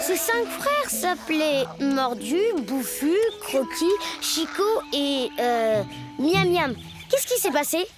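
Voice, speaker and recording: Casting: inquisitive little boy for a cartoon, extremely high, animated, loud music underneath. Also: slow pace, exaggerated voice. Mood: crafty. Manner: questioning, childlike. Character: plucky, inquisitive young boy. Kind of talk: French cartoon dub acting.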